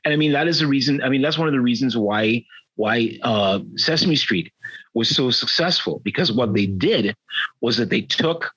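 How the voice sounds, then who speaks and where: Animated man in his fifties, muffled call. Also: medium pitch, moderate pace, slightly rough. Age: 50s. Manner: animated, mock-indignant, playful. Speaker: podcast co-host. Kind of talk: casual chat. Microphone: remote call.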